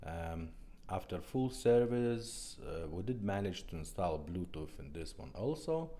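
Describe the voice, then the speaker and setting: Low, mellow-voiced man demonstrating a product. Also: big pitch swings, unhurried. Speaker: car radio restorer, presenter.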